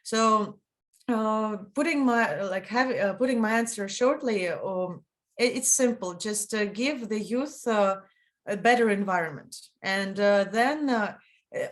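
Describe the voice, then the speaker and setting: A woman's voice: mid-range voice, unhurried. A young woman from northeast Estonia speaks on an online panel in a mid-range voice. She hesitates often, filling gaps with "uh" and restarting her sentences as she gathers her thoughts. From Ida-Viru County, Estonia. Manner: hesitant, many fillers and restarts. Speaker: youth panelist.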